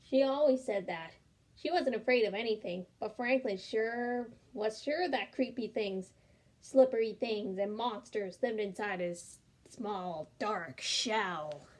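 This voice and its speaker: A young woman reading a picture book aloud, gentle and expressive. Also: medium pitch, takes it slowly. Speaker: storyteller.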